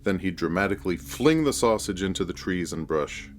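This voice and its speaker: A man narrating a scripted first-person horror story in a measured, deliberate storytelling manner. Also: sits low, expressive, a little hiss or hum.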